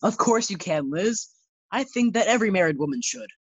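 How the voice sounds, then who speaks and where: A woman's voice: mid-range voice, animated, brisk, breathy edge, dry recording. A woman acting a breezy, girl-chasing bachelor man in a 1940s-style radio sitcom. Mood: astonished. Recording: slightly dull, lo-fi sound.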